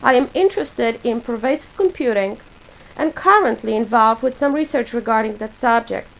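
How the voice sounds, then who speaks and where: A woman in her forties from Poland, a native Polish speaker, reading a prepared self-introduction for her portfolio in a measured, list-like way. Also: fairly high pitch, poor audio. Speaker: graduate student presenting a portfolio.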